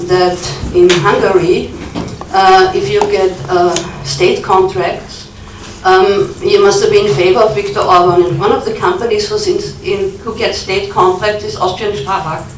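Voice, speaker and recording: A woman in the audience giving a long earnest comment, halting with many ums. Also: mid-range voice. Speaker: audience member. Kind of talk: conference Q&A comment. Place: conference hall. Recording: echoey hall, distant mic, faint noise.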